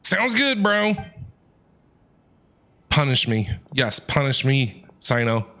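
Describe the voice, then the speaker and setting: A man's voice: medium pitch, very animated, slow pace, bright, forceful tone. A man livestreaming casual game commentary, playfully talking back to the characters in slangy speech.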